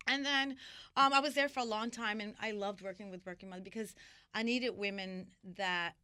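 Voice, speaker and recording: A middle-aged woman from New York talks through her career in a loose, animated way, circling back with fillers and restarts. Her voice is bright and smooth, with a clean resonance. The sound is dry and close, with no room around it. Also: medium pitch, brisk, no echo. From New York (Washington Heights). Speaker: interview guest, magazine founder. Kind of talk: casual interview storytelling.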